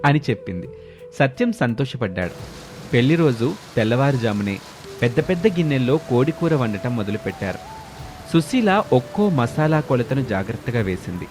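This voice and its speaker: A man narrating a scripted moral story for an animated video. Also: medium pitch, moderate pace, faint music behind.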